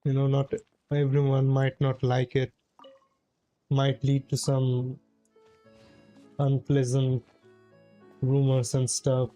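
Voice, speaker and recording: A man's voice: medium pitch, monotone, takes it slowly, long pauses, warm tone, poor audio. A man giving casual, musing commentary on a video game live stream in a laid-back way. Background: faint game music.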